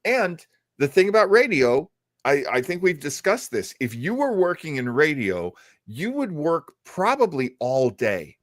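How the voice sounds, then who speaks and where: A man's voice: a little high, very animated, a little slow, dry recording, studio quality. A man hosting a show, chatting casually and musing as he explains things at length. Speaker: radio show host. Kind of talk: casual chat about old radio.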